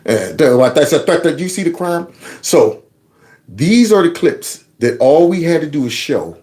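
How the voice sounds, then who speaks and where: Animated high-voiced man mocking. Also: normal speed. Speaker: commentary vlogger. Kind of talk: casual political commentary.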